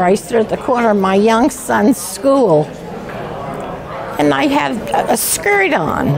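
A very elderly woman, aged one hundred, reminisces in a high voice at a slow pace. She is cheerful and plain-spoken, with people chattering in the room behind her. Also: poor audio. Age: 100 years old. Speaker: interview guest. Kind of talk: casual reminiscing chat. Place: indoor lounge by a fireplace. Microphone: lapel mic.

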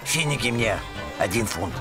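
Man playing a querulous, animated old cartoon villain. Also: lowish voice, moderate pace, close to an everyday voice. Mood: irritated. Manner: querulous, exasperated. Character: grumpy, scheming, jumpy old man villain. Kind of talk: animated film voice acting. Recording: loud music behind the voice.

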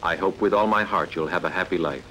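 A man's voice: sits low, bright, forceful tone, rough recording. An actor playing a loyal, humble strongman farmer and protective older brother in a dubbed ancient epic, speaking earnestly and formally. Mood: solemn, resigned. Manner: earnest, formal, measured phrasing. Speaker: film character in a fan dub. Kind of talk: dubbed sword-and-sandal film acting. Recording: film dub with faint background noise.

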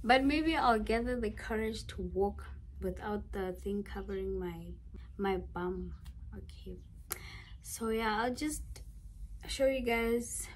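A woman's voice: mid-range voice, takes it slowly, a little hiss or hum, poor audio. A young woman from South Africa chatting casually in a vlog, giggly and thinking aloud as she goes. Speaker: vlogger.

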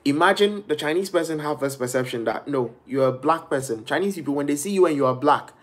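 Young guy from ghana ranting on a webcam stream in his bedroom, lively, slightly high voice. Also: fast. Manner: animated, gestures a lot, quotes people in shifting voices. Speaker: live-streaming vlogger. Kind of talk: casual live stream monologue. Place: small bedroom indoors. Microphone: laptop or phone webcam at arm's length.

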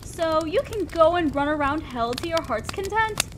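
A woman playing a haughty, teasing female demon lord in a roleplay audio drama, with a drawn-out, condescending, theatrical delivery. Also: extremely high pitch, animated. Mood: disdainful. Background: crackling fire.

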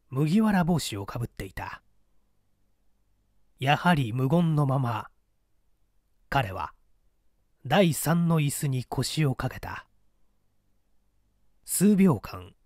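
A man reading a mystery story as a scripted, dramatic narration in a deliberate, theatrical storytelling manner. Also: medium pitch, a little mellow, no echo, studio quality. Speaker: audiobook narrator.

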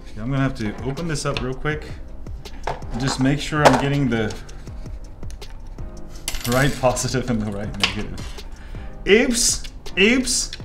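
A man who grew up in Korea, a native Korean speaker, casually talking through a soldering tutorial at a workbench, chatty and rambling. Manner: chatty, jumps into excited high exclamations and mutters asides. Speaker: 3D printing tutorial host. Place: indoor workbench. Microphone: off-camera, hands-only shot at workbench.

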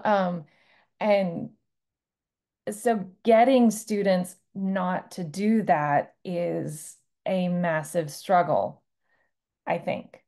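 A woman in her forties talks animatedly on a video call, gesturing as she speaks. Her voice sits low and mellow, with some gravelly fry. It is picked up by a laptop microphone. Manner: lively, gestures with hands while talking. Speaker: panelist, Russian teacher. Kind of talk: online panel discussion. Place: home room, video call.

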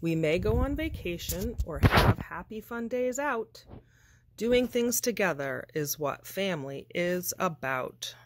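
A woman reading a children's picture book aloud with deliberate, expressive, measured phrasing. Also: lowish voice, noisy background. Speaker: storybook reader.

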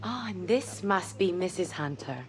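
A woman's voice: on the low side, lively intonation, moderate pace, natural voice. An actress as a poised woman sports agent. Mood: polite, gushing. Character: poised, gushing female sports agent in business meeting. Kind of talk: naturalistic game cutscene dialogue.

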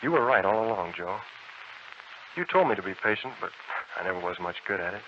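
An actor playing a boyish, affectionate young gentleman in a playful, sincere 1940s radio drama style. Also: deep voice, expressive, brisk. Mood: sincere, tender. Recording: muffled, noisy 1940s radio recording.

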